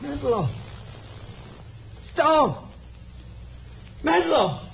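An actor playing a jealous, threatening, cowardly husband and killer in a 1950s radio drama, agitated and melodramatic. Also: male voice, extremely high pitch, very animated, very slow, creaky, full character voice. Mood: fearful, searching. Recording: muffled old radio recording.

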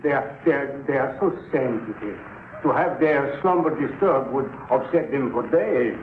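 An actor in a 1950s radio drama playing an ornately polite, regretful smuggler who hesitates and repeats himself. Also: male voice, mid-range voice, normal speed, lightly acted. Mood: regretful, fussy. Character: courtly, regretful foreign smuggler and gambler doting on his birds. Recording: roomy, phone-like, faintly noisy 1950s radio recording.

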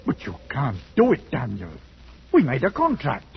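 An actor in a 1940s radio drama playing a loyal, worried adventurer, earnest and plain-spoken. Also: male voice, medium pitch, wildly swooping pitch, moderate pace, gravelly, slightly rough, heavily acted. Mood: anxious protest. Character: loyal, worried adventurer, the king's cautious partner. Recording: muffled 1940s radio recording with music.

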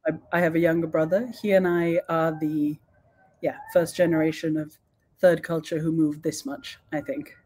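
Young woman guest on a video call, low mellow voice, lots of ums. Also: level tone, normal speed. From born in Sweden, raised in several countries. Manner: thoughtful, with frequent ums and restarts. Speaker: guest expert. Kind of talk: online panel talk.

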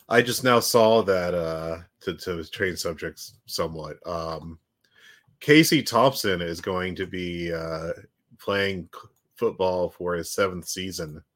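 A man co-hosting a podcast with a husky voice as he gets over a cold, rambling and joking with lots of ums and uhs. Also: low-pitched, a bit bright. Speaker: podcast co-host. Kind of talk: casual chat.

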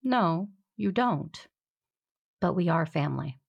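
An actress plays a solemn older woman diplomat with a low, breathy voice. She speaks formally and firmly, persistent but composed, in audio-drama style with music behind her. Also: lively intonation, lo-fi sound, full character voice. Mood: insistent. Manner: formal, deliberate, firm. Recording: music under the voice.

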